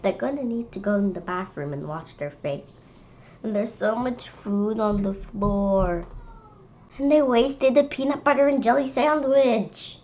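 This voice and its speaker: A young, school-age female reader presenting a picture book read-aloud with chatty, expressive commentary. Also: a little high, measured pace, muffled recording, slight background noise. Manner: expressive, calls out quoted lines loudly.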